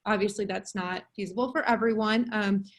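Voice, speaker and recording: A woman's voice: mid-range voice, fairly even intonation, brisk. A woman co-hosting a webinar on a video call, giving practical tips in a chatty way. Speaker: webinar co-host. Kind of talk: casual tips talk.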